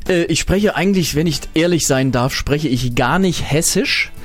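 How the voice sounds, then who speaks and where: A man's voice: a little high, normal speed, soft background music. A man with a Swabian-tinged German accent, a guest on a radio talk show, telling stories and laughing often. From Germany (Berlin). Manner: lively storyteller, laughs often. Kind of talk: casual radio chat.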